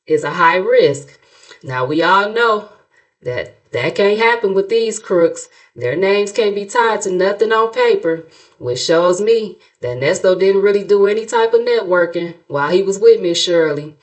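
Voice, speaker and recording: A middle-aged American woman giving sassy, gossipy reaction commentary as a host, in a colloquial, playfully mocking, dramatic storytelling way. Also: fairly low pitch. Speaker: YouTube commentary host, narrator.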